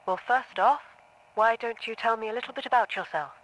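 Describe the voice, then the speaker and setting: A woman playing a patient, composed therapist with a measured, reassuring manner in an audio drama. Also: a little high, lo-fi sound, natural voice. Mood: calm, reassuring. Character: composed, patient female therapist, quietly probing.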